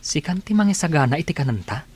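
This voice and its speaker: A man's voice: a little high, very animated, fast talker, darkish tone, husky. A man playing an easygoing, respectful grown son in a radio drama, speaking in a mild, hesitant manner. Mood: casual, friendly.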